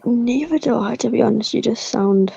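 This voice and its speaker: A man's voice: extremely high pitch, normal speed, vocal fry, dark tone, breathy edge, dull audio. A young man with a mixed Scottish and English accent, a podcast guest chatting casually and self-deprecatingly. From Scotland, then England. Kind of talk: casual chat.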